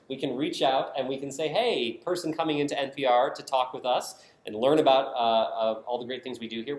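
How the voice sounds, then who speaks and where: An American man giving a prepared conference presentation, explaining his points emphatically in short, punchy statements. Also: medium pitch. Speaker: conference speaker, design team member. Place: indoor conference session room. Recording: roomy echo of a presentation space.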